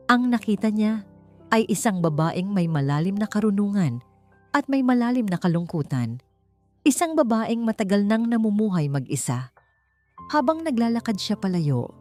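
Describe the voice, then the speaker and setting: A woman's voice: mid-range voice, dark tone. A woman with a Filipino accent narrating a dramatic scripted story. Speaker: audiobook narrator.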